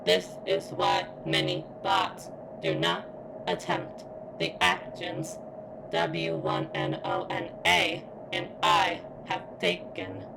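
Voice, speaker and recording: A woman playing a sentient female robot in constant pain for an ASMR roleplay, speaking in a strained, halting, formal and deliberate way. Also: a bass voice, wildly swooping pitch, background noise, exaggerated voice. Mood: pained, measured. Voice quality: strained, creaky. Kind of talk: ASMR roleplay, scripted monologue.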